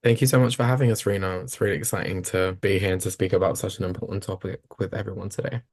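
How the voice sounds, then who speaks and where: A man speaks eagerly and fluently as a podcast guest, using "like" often. His voice sits deep and low. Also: level tone, quick pace, a little mellow. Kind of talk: animated interview answers.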